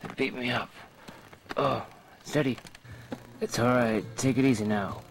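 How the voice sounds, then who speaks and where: A man acting a weary, bewildered Jedi knight in an amateur audio drama, talking haltingly and muttering to himself. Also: mid-range voice, fairly even intonation, vocal fry. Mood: strained, steadying. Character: weary, bewildered Jedi knight wandering the afterlife, meeting his double. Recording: old cassette tape with music.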